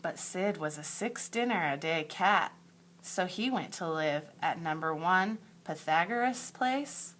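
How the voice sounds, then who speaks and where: A woman teacher reading a picture book aloud to young children in a deliberate, emphatic storytelling way, with asides to her pupils. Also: fairly low pitch, lively intonation, a bit bright, a little hiss or hum. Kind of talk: expressive picture-book read-aloud for second graders.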